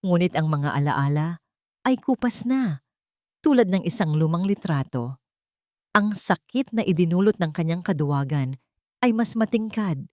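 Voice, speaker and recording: Low-voiced woman narrating a dramatic story. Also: lively intonation, moderate pace, pauses often, darkish tone, dry recording, clean studio sound.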